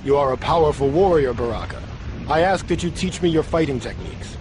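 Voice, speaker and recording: Earnest higher-voiced warrior hero, a man acting. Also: fairly quick, background noise, close to an everyday voice. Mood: respectful. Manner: formal, respectful, earnest. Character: earnest, polite heroic male martial arts warrior. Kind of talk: fighting game cutscene acting.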